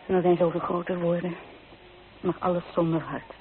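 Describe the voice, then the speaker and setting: A woman's voice: lowish voice, fairly even intonation, moderate pace, lightly acted. An actress playing a homesick, bitter young provincial woman in a radio play, speaking earnestly and wistfully. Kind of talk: Dutch radio play acting. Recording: thin, phone-like old radio recording.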